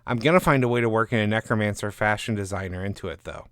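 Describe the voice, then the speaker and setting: A man acts out a stubborn male novelist in a storybook reading. He sounds defensive and a little petty, insisting on his point with indignation. The voice sits fairly low in a dry recording. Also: fast talker, fairly bright tone, smooth, no echo, lightly acted. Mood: grudging. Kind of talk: dramatised short story reading.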